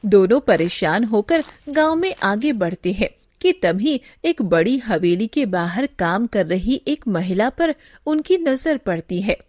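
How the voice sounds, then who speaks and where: A woman's voice: medium pitch, sing-song, a little slow, darkish tone, no room sound. A woman narrating a scripted moral story as its storyteller.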